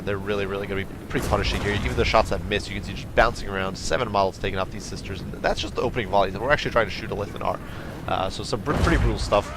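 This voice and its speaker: A man commentating a strategy game battle in a quick, chatty way, his voice nasal from a cold. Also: fairly low pitch, gravelly, noisy background. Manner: quick, chatty, many fillers and restarts. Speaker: gameplay commentator.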